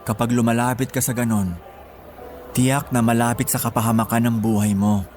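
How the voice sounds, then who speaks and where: A man's voice: medium pitch, little pitch movement, normal speed, darkish tone, a little hiss or hum, close to an everyday voice. A man playing a devoted, grateful younger brother in a Filipino radio drama, earnest and respectful. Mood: serious, confiding.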